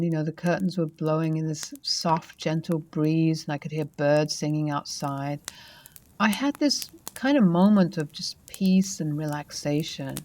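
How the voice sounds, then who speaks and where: Female narrator, low-pitched, soft conversational storytelling of paranormal tales.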